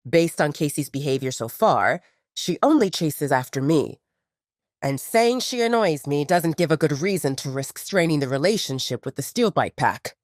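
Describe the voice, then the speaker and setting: A woman voicing a commanding, irritated young male pack leader in an audio drama, speaking with measured authority. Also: deep voice, no room sound, clean studio sound. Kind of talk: audio drama narration dialogue.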